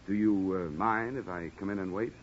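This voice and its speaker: An actor playing a sardonic, hard-boiled insurance investigator in an old-time radio noir drama. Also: male voice, low voice, steady tone, moderate pace, slight background noise, close to an everyday voice. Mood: dry, pointed. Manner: sardonic, dry. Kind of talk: 1940s-50s radio acting, noir narration. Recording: muffled old radio recording.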